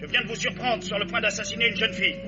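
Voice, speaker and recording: A commanding policeman played by a man, high-pitched and fast, firing off orders in vintage French radio thriller style. The sound is muffled, with music clearly behind him. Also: fairly even intonation, natural voice. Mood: barking orders. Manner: authoritative, barking orders. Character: commanding, brisk police chief making an arrest. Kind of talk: old French radio crime drama acting.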